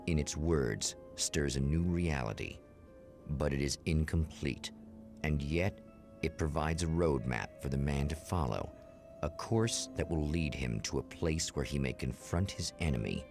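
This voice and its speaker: Very deep voice, portentous scripted narration, eerie TV mystery host, solemn. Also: male voice, expressive, unhurried, vocal fry, music in the background, exaggerated voice. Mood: solemn, ominous. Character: ominous male narrator of an eerie anthology TV show.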